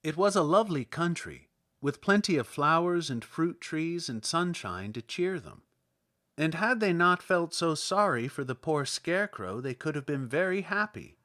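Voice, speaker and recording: A man reading a children's story as an expressive audiobook narrator. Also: a little high, normal speed.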